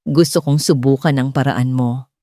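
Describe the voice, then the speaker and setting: A woman's voice: a bass voice, steady tone, normal speed, mellow, no room sound, clean studio sound, voice pushed down. A woman voicing a wealthy male boss, a hurting but resolute father, in an audio drama. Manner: questioning, decisive.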